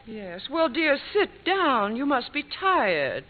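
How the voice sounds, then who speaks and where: A woman's voice: sits high, wildly swooping pitch, slow pace, exaggerated voice. An actress playing a patient, wryly amused middle-aged wife and mother in a vintage radio sitcom, indulgent in manner. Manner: indulgent, wry. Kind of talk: 1950s radio sitcom acting. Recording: tinny vintage radio with studio audience.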